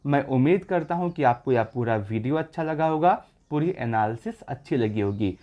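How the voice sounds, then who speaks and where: A man's voice: medium pitch, expressive, moderate pace, highs cut off. A man presenting an informational update for exam candidates, explaining things in a repetitive, instructional way. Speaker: exam news channel presenter.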